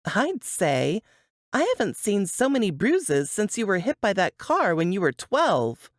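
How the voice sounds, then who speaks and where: A woman voicing a caring, upbeat foster sister in an audiobook, affectionate and gently teasing. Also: mid-range voice, wildly swooping pitch, a little slow, dry recording, studio quality, pitched above the performer's own voice.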